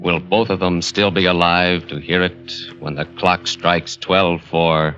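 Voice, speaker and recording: An actor playing an ominous announcer of a 1940s radio horror series, with a dramatic delivery. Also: male voice, sits low, level tone, measured pace, fairly bright tone. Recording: muffled old radio, music behind.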